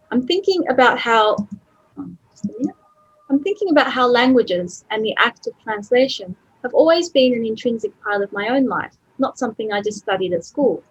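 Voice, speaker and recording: Middle-aged woman, conference talk, chatty, animated intonation, breathy voice. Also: a little high, muffled recording. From Australia. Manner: lively and chatty, laughs easily. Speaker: conference speaker. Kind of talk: presentation to colleagues.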